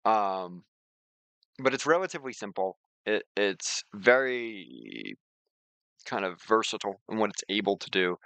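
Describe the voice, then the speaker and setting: A man talks in a low register with plenty of vocal fry. The sound is dry and close, like a streamer at his desk. He explains his screen informally and wanders as he goes. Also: big pitch swings, measured pace, a bit bright, no room sound. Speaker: streamer and software developer. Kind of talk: casual screen-share walkthrough and chat.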